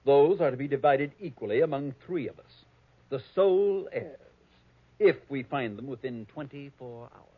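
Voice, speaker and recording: Pompous heir, husky and formal. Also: male voice, medium pitch, expressive, normal speed, no echo. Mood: formal, smooth. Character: prim, conniving, avaricious male heir. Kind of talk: 1940s radio acting. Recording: thin, phone-like old radio recording.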